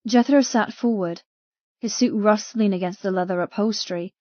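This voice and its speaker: A woman's voice: medium pitch, normal speed, mellow, no room sound, highs cut off. A woman narrating a dramatic first-person novel as a frightened captive. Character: frightened captive young woman telling her story. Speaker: audiobook narrator.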